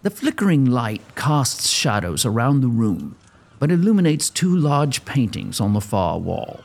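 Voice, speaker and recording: A man's voice: medium pitch, big pitch swings, measured pace. A man giving dramatic scripted narration as the storyteller of an audio drama.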